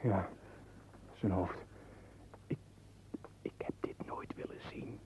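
A man's voice: slow, in a whisper, close to an everyday voice. A man acting a tense, jumpy man who fears he is being hunted, in a Dutch radio play. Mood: alarmed.

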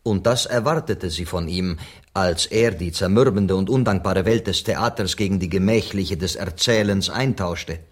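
An actor playing a courteous, patient Spanish interpreter who translates in a measured way in a radio play. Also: male voice, sits low.

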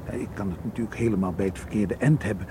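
A man's voice: fairly low pitch, natural voice. Man acting a concerned, level-headed tour guide, noisy recording. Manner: measured, careful, reassuring. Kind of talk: radio drama acting. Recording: noisy old radio play recording.

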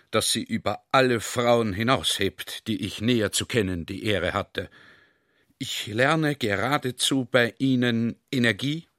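Actor playing a courtly, cautious general. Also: male voice, mid-range voice, a bit bright, dry recording, studio quality, lightly acted. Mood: gallant admiration. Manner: formal, gallant, old-fashioned courtesy. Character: courtly middle-aged army general, deferential and cautious. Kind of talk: radio play acting.

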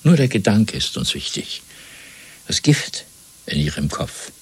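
An actor playing a cold, fanatical interrogator in a radio play, deliberate and patronising, with a gravelly voice that often sinks to a whisper. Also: male voice, low voice, very animated, full character voice. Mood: menacing zeal. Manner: deliberate, patronising, swinging between soft and commanding. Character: cold, fanatical male Party interrogator and torturer. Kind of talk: 1970s German radio play acting.

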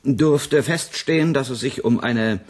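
An actor playing a sharp-witted, easily indignant male scientist in a radio drama, speaking in a pointed, articulate way. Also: mid-range voice, unhurried, close to an everyday voice. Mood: grave, analytical. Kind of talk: German science fiction radio drama acting.

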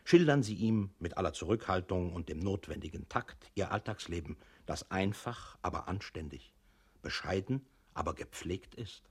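A didactic male coach in a scripted radio drama. His voice is deep and husky, and he exhorts a meek employee in deliberate, earnest phrases. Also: lively intonation, exaggerated voice. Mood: earnest exhortation. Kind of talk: scripted radio play narration.

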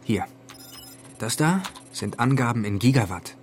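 A man's voice: mid-range voice, lively intonation, measured pace, natural voice. A man playing a wry, nervous young student in a German radio drama, talking in a colloquial, sarcastic, slightly hesitant way. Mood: puzzled, casual. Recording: music behind the voice.

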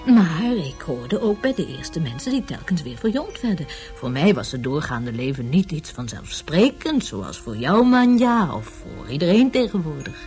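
An actress in a radio drama playing a dreamy, much-rejuvenated mother who muses tenderly, sometimes sinking into a hush. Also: low voice, big pitch swings, moderate pace, faint music behind. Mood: wistful, elated. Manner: tender, musing, drifting into hushed whispers.

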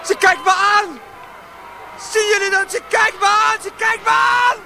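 A man's voice: very high-pitched, steady tone, unhurried, gravelly, bright, forceful tone, faint music behind, full character voice. A young man playing a distraught teenage boy, pleading desperately in a radio drama. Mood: hysterical denial.

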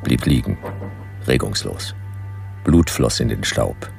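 An actor playing a hard-boiled detective who narrates his own story tersely in a radio play, with a gravelly voice. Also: extremely low pitch, lively intonation, slow, darkish tone, faint music behind, exaggerated voice. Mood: terse, grim. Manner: terse, clipped sentences.

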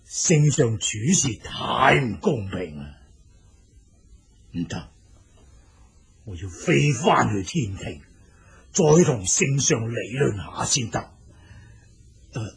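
A man voicing an old yellow dragon in a Cantonese storybook drama, aggrieved and defiant, with a gravelly, husky voice. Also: mid-range voice, a little hiss or hum, exaggerated voice. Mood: determined. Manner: formal pleading and indignant muttering.

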